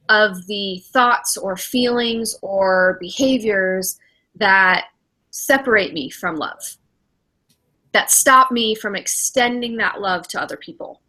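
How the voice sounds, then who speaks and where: A woman's voice: a little high, bright, forceful tone, low-quality recording. A middle-aged woman, a spiritual director hosting a casual podcast chat, reflective and acting out her own inner thoughts as she talks.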